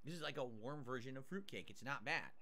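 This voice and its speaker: A man's voice: mid-range voice, animated, brisk, sharp tone. A man streaming live, chatting casually as he eats and reads out viewer tips and thanks. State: mouth burned, nasal drip. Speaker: livestreamer.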